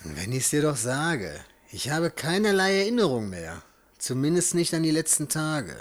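A man acts out an agitated secret agent from a horror radio play. His delivery is curt and urgent, and his pitch moves widely with the drama. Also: big pitch swings, normal speed. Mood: dazed, troubled. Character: agitated male special agent with lost memory.

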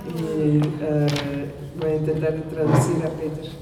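A woman's voice: sits low, little pitch movement, a little slow. A woman with a slight Argentine accent presenting at a seminar, speaking carefully and hesitantly. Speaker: guest presenter. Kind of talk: seminar talk. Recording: distant mic in an echoey hall, background noise.

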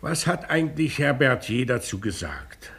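An actor playing a stern, sardonic, paternal police inspector in a 1950s German radio drama, with an authoritative, wry manner. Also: male voice, mid-range voice, very animated, moderate pace, creaky, a bit bright, slightly rough, exaggerated voice. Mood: stern questioning.

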